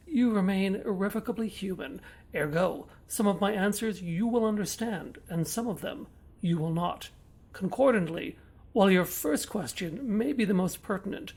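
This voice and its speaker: A man playing a pompous, detached reality-making entity, speaking in measured, verbose monologue for a comic audio drama. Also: high voice, husky.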